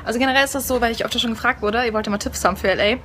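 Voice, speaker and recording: A woman's voice: fairly high pitch, racing, a bit bright. A young woman chatting in a casual travel vlog, bubbly with lots of fillers, filming herself outdoors on a phone held at arm's length. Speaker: vlogger. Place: outdoors by a house and a hotel pool. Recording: outdoor phone audio with background noise.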